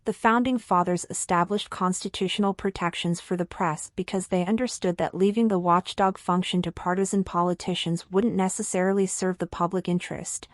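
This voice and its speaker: A woman's voice: lowish voice, no room sound, clean studio sound. A woman reading a scripted exam practice passage aloud.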